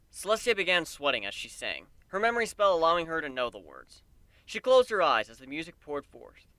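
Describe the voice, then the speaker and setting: A man's voice: medium pitch, pushed and bright, dry recording. A man giving a dramatic first-person reading of a story as its narrator.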